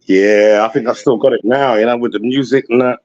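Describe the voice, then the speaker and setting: A man's voice: mid-range voice, steady tone, fairly quick, darkish tone. A man talking casually as a guest on an online call, rambling and leaning on "you know what I mean.". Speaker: guest on a call-in talk show. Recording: muffled lo-fi call audio.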